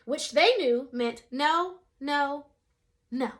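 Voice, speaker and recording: A woman's voice: sits high, very slow. A young adult woman reading a children's picture book aloud, expressively changing her voice for the characters. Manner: expressive, shifts voice for quoted characters.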